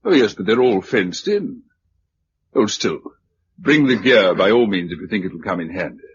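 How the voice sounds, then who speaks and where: An actor playing an affable British leader of survivors in a radio drama, brisk, chatty and courteous. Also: male voice, lowish voice, lively intonation, slightly rough. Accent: British English. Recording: muffled radio drama recording, faint noise.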